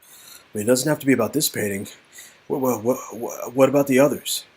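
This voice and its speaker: A man's voice: medium pitch, a little fast, breathy edge, lightly acted. A man voicing a desperate, tender friend who pleads in stammered, repeated words in an interactive story game. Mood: urgent, tender.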